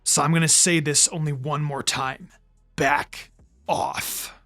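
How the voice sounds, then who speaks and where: A man's voice: a little high, fairly even intonation, takes it slowly, pushed and bright, voice pushed down, heavily acted. A man voicing a blunt, protective teenage boy ninja with a curt, controlled manner in a story reading. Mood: cold, defiant.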